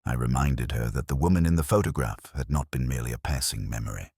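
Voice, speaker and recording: A man narrating a literary detective story in the first person, in a solemn, measured and brooding manner. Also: extremely low pitch, a little mellow, husky, dry recording, clean studio sound.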